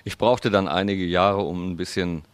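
A man with a very deep voice interprets into German, slow and deliberate. The recording is dry and close, with no room sound. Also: fairly even intonation, smooth, no echo. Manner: slow, deliberate, careful phrasing.

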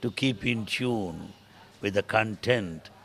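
An older man with an Indian accent, Telugu-born, speaking in a lowish, measured voice. He answers questions slowly, with small pauses and an occasional "uh". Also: fairly bright tone, slightly rough. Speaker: interviewee, translator. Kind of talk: interview answers in English.

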